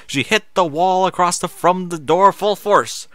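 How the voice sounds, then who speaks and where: A man's voice: sits high, unhurried, a bit bright, no echo, studio quality. A man narrating a story in a dramatic reading style.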